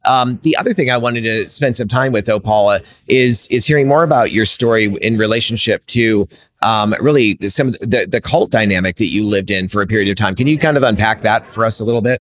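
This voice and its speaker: A man's voice: on the low side, brisk, fairly bright tone. A man hosting a faith-based interview podcast, speaking thoughtfully and hesitantly, with frequent restarts. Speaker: podcast host.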